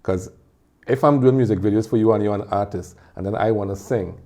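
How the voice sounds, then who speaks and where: A man with a Trinidadian accent, talking as a guest in a casual interview and telling animated stories with frequent laughter. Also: lowish voice, a little fast. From Trinidad and Tobago. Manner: animated storyteller, laughs often. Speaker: guest, music video producer and singer.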